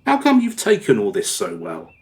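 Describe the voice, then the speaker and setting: A man acts a bemused, affectionate parent in a radio play. His pitch moves up and down freely as he talks to his teenager. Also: wildly swooping pitch, normal speed.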